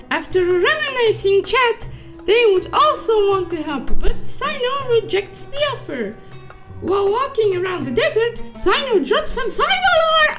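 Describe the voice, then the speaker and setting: A woman voicing a silly, excitable cute cartoon unicorn who narrates a comic story recap in a playful, exclaiming, mock-dramatic manner. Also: very high-pitched, exaggerated voice.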